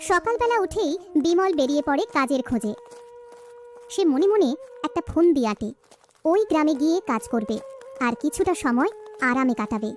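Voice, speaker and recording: A woman's voice: high voice, expressive, normal speed. A woman narrator reading a scripted children's story.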